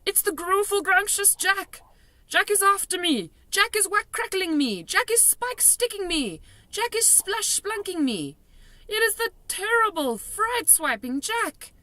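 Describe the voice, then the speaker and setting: A woman's voice: extremely high pitch, big pitch swings, unhurried, pushed and bright, dry recording, pitched above the performer's own voice, full character voice. A woman voicing a huge man-eating male giant from a storybook, screeching and bellowing pleas in broken grammar as a nightmare terrifies him. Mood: terrified, bellowing. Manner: pleading, roaring in broken grammar.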